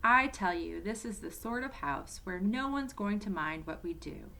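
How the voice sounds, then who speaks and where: A woman's voice: mid-range voice, animated, moderate pace, creaky, bright, forceful tone. A woman voicing a confident, adventurous schoolboy, the eldest brother, in an emphatic, eager and bossy storybook reading.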